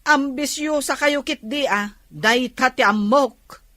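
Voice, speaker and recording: An actress playing a scornful, nosy, easily offended aunt in a radio comedy-drama. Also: female voice, high-pitched, slow pace, pushed and bright, no echo, exaggerated voice. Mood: scornful, scolding.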